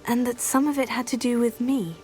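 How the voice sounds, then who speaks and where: An actress playing a kind, composed teenage witch heroine with a proper, measured manner in a video game. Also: on the high side, steady tone, darkish tone, faint music behind, natural voice. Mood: reassuring, composed. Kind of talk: video game character dialogue.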